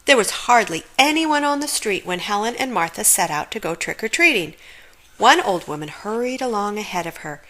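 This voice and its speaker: Bright-voiced woman reading a children's story. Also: medium pitch, big pitch swings, moderate pace, rough recording. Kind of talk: expressive read-aloud narration.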